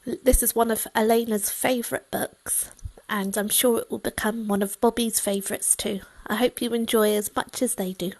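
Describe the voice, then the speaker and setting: A woman reading a children's story aloud in an expressive, dramatic way, often hushed. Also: on the high side, normal speed, low-quality recording. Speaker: storybook reader.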